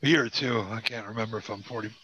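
A man's voice: fairly low pitch, very fast, bright, forceful tone, slightly rough, rough recording. A man in his forties chatting casually and emphatically, swearing freely. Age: 40s. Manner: heavily profane, emphatic. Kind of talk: casual chat.

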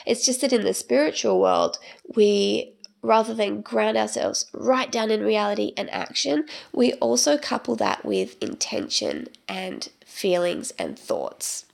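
Croaky, gravelly-voiced woman podcaster. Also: medium pitch, huge pitch swings, a little slow. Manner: rambling, chatty, many ums. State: croaky from a cold. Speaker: podcast host. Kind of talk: casual solo podcast monologue.

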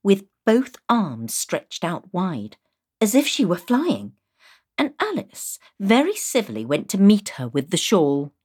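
A woman giving an expressive scripted reading of a children's storybook. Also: mid-range voice, slow, slightly rough.

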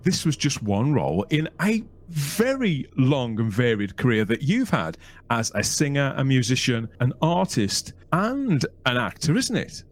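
Animated male podcast host. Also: medium pitch, unhurried. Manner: animated, leading questions with tag questions. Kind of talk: conversational interview.

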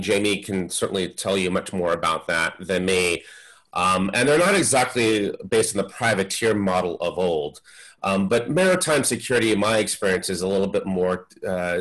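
Male panel expert, very low voice, measured and thoughtful. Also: a bit bright, poor audio. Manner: measured, lots of um and uh. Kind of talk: webinar panel discussion.

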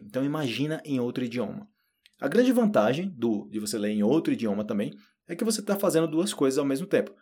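Brazilian guy teaching portuguese, kinda husky voice, chatty, repeats stuff slow. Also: mid-range voice, darkish tone. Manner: chatty, repeats phrases slowly to demonstrate. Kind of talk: casual spoken lesson.

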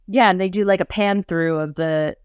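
A woman's voice: fairly low pitch, normal speed, smooth, dry recording, studio quality. A woman co-hosting a casual podcast chat, talkative, laughing often and quoting herself in little asides. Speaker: podcast co-host.

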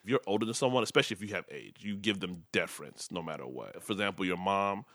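A young man with a low, deep voice talks fast in a relaxed interview, his first language Yoruba. He explains things in quick, fluent runs, padded with "like" and "you know". The sound is close and dry. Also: no echo. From United States. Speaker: guest. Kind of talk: conversational interview.

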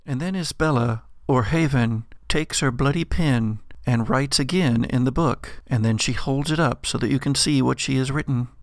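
Male game master, deliberate theatrical storyteller, gravelly fry, close dry sound. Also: mid-range voice, no room sound. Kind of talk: dramatic scripted narration and game narration.

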